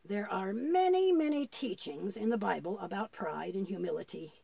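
A woman voicing a kindly old grandfather puppet, explaining things patiently and preachily in measured children's puppet-show dialogue. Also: mid-range voice, animated, creaky, warm tone, slightly rough, dry recording, exaggerated voice. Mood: earnest teaching. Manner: measured, explanatory, quotes scripture.

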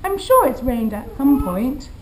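A man's voice: extremely high pitch, big pitch swings, measured pace, slight background noise, pitched above the performer's own voice, heavily acted, poor audio. A man voicing a nervous male circus clown and fake fortune-teller who whines and pleads. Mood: pleading. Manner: whiny, pleading.

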